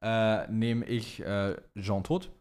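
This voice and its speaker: A man's voice: lowish voice, fairly even intonation, takes it slowly, darkish tone, clean tone. A young man co-hosting a podcast, chatting casually in youth slang sprinkled with English words. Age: young adult. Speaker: podcast co-host. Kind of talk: casual chat about motorsport, reading out listener questions.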